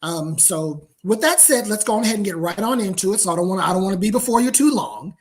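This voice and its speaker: A man's voice: high voice, expressive, fast talker. A man from the United States presenting as a guest over a video call, friendly and casual with frequent ums. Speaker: guest business founder. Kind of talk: webinar presentation.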